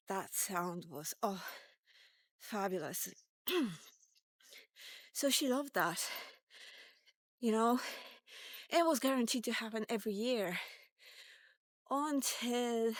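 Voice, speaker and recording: A woman in her fifties telling a personal tale informally, halting and thinking aloud with drawn-out pauses as she goes. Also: fairly high pitch, no room sound. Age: 50s. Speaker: vlogger. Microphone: walkie-talkie vlog, recorded on the go.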